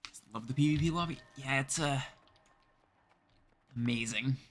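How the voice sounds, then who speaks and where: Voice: medium pitch, moderate pace. A streamer from Canada giving casual live video game commentary, chatting and thinking aloud in short remarks.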